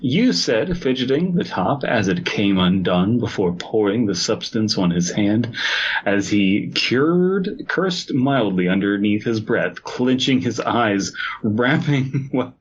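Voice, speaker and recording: A man's voice: lowish voice, lively intonation, slow pace, dull audio, a little hiss or hum. A man co-hosting a podcast, riffing in a goofy, tongue-in-cheek way between casual chat and reading aloud. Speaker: podcast co-host. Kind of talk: casual comedic chat and stumbling read-aloud.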